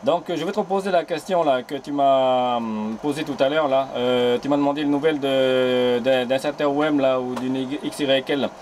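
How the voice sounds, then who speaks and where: A man's voice: medium pitch, normal speed, hardly any pauses, darkish tone, slight background noise. A man on an island chatting over amateur radio, friendly, tagging "là" after nearly every phrase. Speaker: radio amateur filming the contact. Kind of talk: casual radio contact chat. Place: home radio station on an island. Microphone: old handheld mic on a transceiver.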